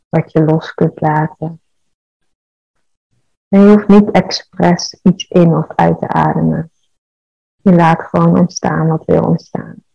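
Very slow, gravelly woman guiding meditation. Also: low voice, no room sound, highs cut off. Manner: calm, measured, soothing. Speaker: guided meditation leader. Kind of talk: guided meditation.

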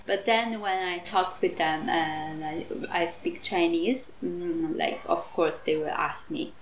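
A woman's voice: fairly low pitch, steady tone, takes it slowly. A woman being interviewed, speaking in a hesitant, thoughtful way. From Italy. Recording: echoey room with faint noise.

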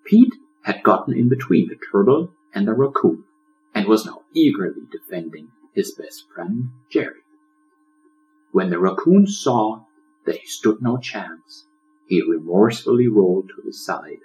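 A man narrating a children's story as an audiobook, in a dramatic, expressive storyteller's manner. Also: lowish voice, measured pace, highs cut off, faint music behind. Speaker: author reading own audiobook.

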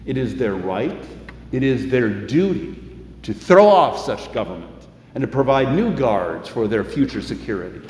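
An older man preaching a sermon in measured tones, slowing down for emphasis, in a church sanctuary. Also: medium pitch, big pitch swings, darkish tone. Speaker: pastor. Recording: echoey hall, faint room noise.

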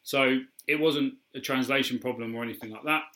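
Hombre inglés con voz brillante. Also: male voice, medium pitch, steady tone, brisk, pushed and bright. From England. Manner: chatty, hesitant with frequent ums and restarts. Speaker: podcast co-host. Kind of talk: casual film discussion.

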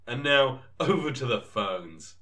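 A man hosting a comedy podcast in a mock-serious, deadpan announcer style. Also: medium pitch, slow pace, very noisy. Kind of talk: mock-serious sketch presenting.

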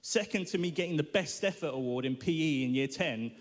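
A man giving his testimony at a live worship event, animated and self-mocking as he tells his story. His voice sits a touch high for a man, and it carries through a big hall with a long reverb. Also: brisk. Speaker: guest speaker. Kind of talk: personal testimony sermon.

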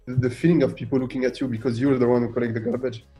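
A middle-aged man with a French accent, speaking with a dark, mellow tone at an ordinary pitch. He talks animatedly as a radio guest and peppers his points with rhetorical questions. Faint music plays underneath. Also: racing. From France. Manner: animated, fires rhetorical questions, acts out quoted voices. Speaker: guest artist. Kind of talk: radio interview.

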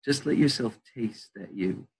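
An older man, a therapist, leading a slow guided visualization and then chatting about his practice. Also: mid-range voice, darkish tone, husky, poor audio. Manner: slow soothing guided-meditation delivery.

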